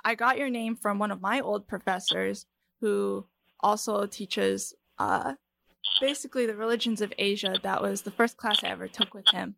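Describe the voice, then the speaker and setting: A woman's voice: medium pitch, fairly even intonation, normal speed, vocal fry, a bit bright, slight background noise, low-quality recording. A young woman hosting her podcast in a chatty, upbeat way, introducing a guest. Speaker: podcast host.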